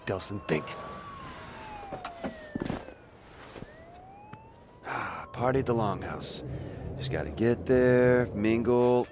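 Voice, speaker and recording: A man's voice: mid-range voice, background noise, natural voice. A man playing a cocky, mischievous young American rebel with superpowers in a video game, flippant and given to muttering to himself. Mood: wry, scheming. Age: young adult. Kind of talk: naturalistic video game acting.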